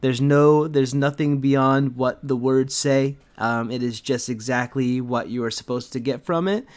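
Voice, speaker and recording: Sarcastic, halting comedic co-host. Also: medium pitch, steady tone, a little mellow. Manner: mock-defensive sarcasm, halting repetitions. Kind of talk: casual riffing on a fan fiction dramatic reading.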